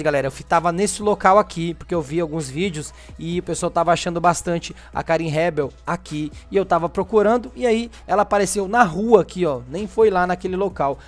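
A Brazilian man giving casual game tutorial commentary, informal, often tagging sentences with little check-in questions. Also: fairly high pitch. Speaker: gaming video host. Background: background music.

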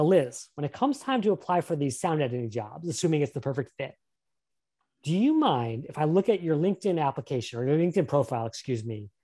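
Man, career workshop presenter on a webcam, lively pitch, mellow tone, upbeat and encouraging. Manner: upbeat, encouraging, asks questions. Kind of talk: live webinar presentation. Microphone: webcam on a video call.

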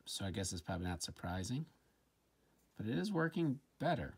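Low-pitched, creaky-voiced man demonstrating a gadget. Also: sing-song, a little fast, darkish tone. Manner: informal, hesitant, thinking aloud. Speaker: presenter of a gadget review. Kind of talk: casual hands-on product demonstration. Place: indoors at a tabletop. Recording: faint background noise with handling clicks.

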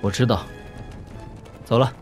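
An actor playing a calm, assured young lord who commands troops with casual authority in an audio drama. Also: male voice, a little slow, faint music behind, lightly acted. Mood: solemn. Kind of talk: Chinese audio drama acting.

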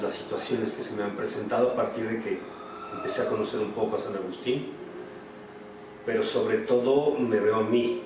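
Mexican male, 40s, lowish voice, reflective interview delivery, very echoey room. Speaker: actor being interviewed. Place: small office indoors. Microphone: camera mic at a distance. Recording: echoey, distant, dull, noisy room.

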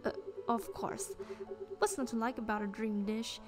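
A woman voicing a reserved, polite chef in a visual novel game, formal and restrained yet quietly fond. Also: on the high side, steady tone, normal speed, over music, lightly acted. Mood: reluctantly pleased.

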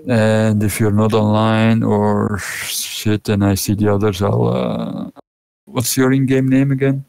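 Gravelly man from Belgium on voice chat. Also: on the low side, measured pace, no echo, clean studio sound. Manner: relaxed, chuckling, easygoing. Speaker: fellow player on voice chat. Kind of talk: casual chat.

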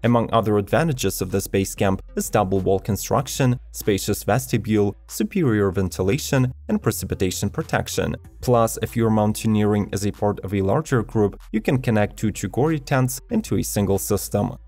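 Guy doing a product review voice-over, lowish voice, steady list-reading, faint music under it. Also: normal speed, hardly any pauses. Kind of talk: scripted voice-over narration.

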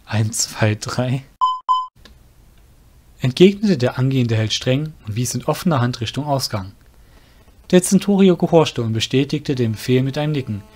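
A man's voice: medium pitch, lively intonation, normal speed, long pauses, darkish tone, slight background noise. A man reading scripted fantasy narration as an audio drama narrator, breaking off to correct himself.

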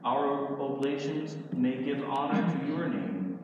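A man serving as priest, reciting liturgical prayers in a slow, solemn way. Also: medium pitch, a little mellow, a little hiss or hum. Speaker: priest celebrating Mass. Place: large church sanctuary. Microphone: far camera. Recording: echoey church from far off, muffled.

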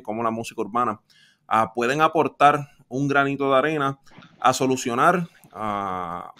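A man's voice: medium pitch, pushed and bright. A young man hosting an interview with upbeat, friendly, slang-laced talk. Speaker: host. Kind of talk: casual interview. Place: home studio with shelves.

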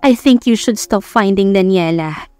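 A Filipino woman voicing a gentle older mother in an audiobook, careful and pleading with her grown son. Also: medium pitch, measured pace, smooth, no echo, faint music behind, lightly acted. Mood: cautious.

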